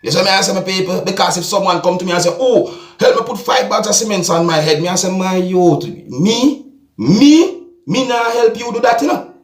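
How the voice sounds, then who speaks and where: A Jamaican man commenting on video clips for his vlog, talking deliberately and addressing his viewers over and over. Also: sits high, lively intonation, reverberant. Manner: repetitive, addresses "viewers and subscribers", slow and deliberate. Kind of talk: casual commentary.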